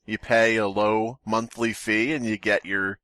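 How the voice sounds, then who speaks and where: A man's voice: lowish voice, flat delivery, measured pace, bright, forceful tone, dry recording. A man hosting a casual comic podcast, rambling along in a wry, joking way. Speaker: podcast host.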